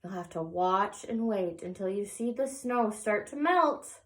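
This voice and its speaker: A woman reading the part of a patient, gentle mother in a picture book, explaining things to her child in a measured way. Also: mid-range voice, expressive, close to an everyday voice.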